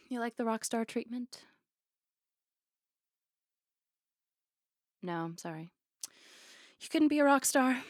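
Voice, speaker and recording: A woman's voice: on the high side, no echo, clean studio sound. A woman playing a flirty, playful, affectionate barista on a date in an intimate, teasing ASMR roleplay. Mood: playful, admiring.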